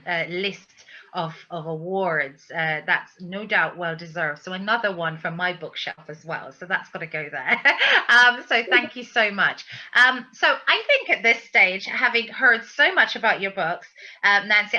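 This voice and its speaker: A woman interviewer with a very bright, sharp voice at a middling pitch. She hosts effusively in halting phrases, and the recording is slightly dull and lo-fi. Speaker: host interviewer. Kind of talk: interview hosting.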